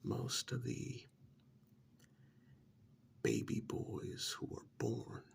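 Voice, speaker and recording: A man acting in a soft-spoken ASMR role-play as a sardonic, pampered captive in a dystopia, taunting and condescending in a breathy near-whisper with deliberate pauses. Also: medium pitch, very animated, full character voice. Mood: amused taunting.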